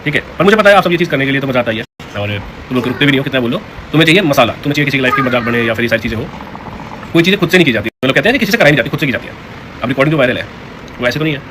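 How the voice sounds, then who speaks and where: A man's voice: mid-range voice, animated, racing. A man vlogger speaking casually and directly to viewers in a rambling, aggrieved way. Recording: clear vehicle noise in background.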